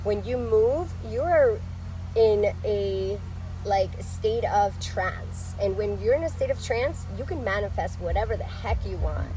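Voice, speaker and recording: A woman's voice: medium pitch, animated, a little slow, poor audio. Young woman, from Ecuador, upbeat casual chat, traffic noise behind. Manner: warm, chatty, upbeat, frequent "like" and "um". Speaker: guest, yoga teacher and event facilitator. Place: outdoors at a festival, traffic around. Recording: outdoor street noise.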